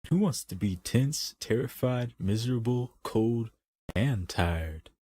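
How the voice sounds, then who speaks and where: A man's voice: mid-range voice, lively intonation, slow pace, darkish tone, slightly rough, dry recording. A man playing a wry, grumbling older camp guard in an amateur comic dub.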